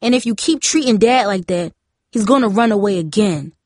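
A woman's voice: mid-range voice, lively intonation, normal speed, no room sound, studio quality. A woman voicing a defiant, wounded teenage girl in an audiobook, stubborn and indignant. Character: defiant, hurt teenage girl, the outspoken sister.

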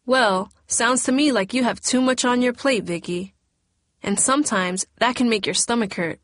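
A woman's voice: fairly high pitch, moderate pace, a bit bright, no echo, clean studio sound, pitched above the performer's own voice, natural voice. A woman narrator voicing a caring, firm school nurse who gives advice. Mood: concerned. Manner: motherly, advising.

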